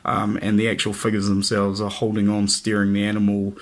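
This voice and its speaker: A man's voice: fairly low pitch, monotone, brisk. New man with a head cold. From New Zealand. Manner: chatty and easygoing, many ums and uhs. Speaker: miniatures hobby reviewer. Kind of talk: casual product review.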